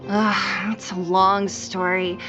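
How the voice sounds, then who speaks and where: A woman's voice: mid-range voice, level tone, slow, lightly acted. A woman voicing a serious young female fighter in a video game, businesslike and direct. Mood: matter-of-fact. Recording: dull game audio under music.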